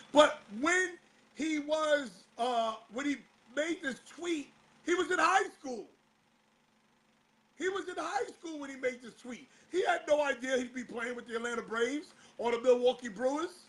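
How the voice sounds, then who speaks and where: Male host, very high bright voice, a bit hoarse, exasperated rhetorical talk-show riffing. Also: animated, unhurried, rough recording. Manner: fires rhetorical questions, exasperated. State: slightly hoarse. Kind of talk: casual talk-show commentary.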